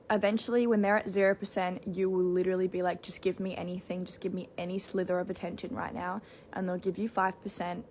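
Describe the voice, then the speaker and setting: A woman's voice: medium pitch, a little hiss or hum. A woman giving emphatic dating advice to the camera, repeating her points for effect. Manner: emphatic, repetitive. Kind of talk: casual advice talk to camera.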